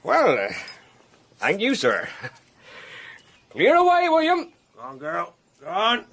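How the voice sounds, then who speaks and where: An actor playing a hesitant, deferential country railway porter in a radio drama. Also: male voice, high-pitched, huge pitch swings, slow pace, a bit bright, exaggerated voice. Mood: helpful, fussing. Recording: noisy outdoor scene with horse and vehicle.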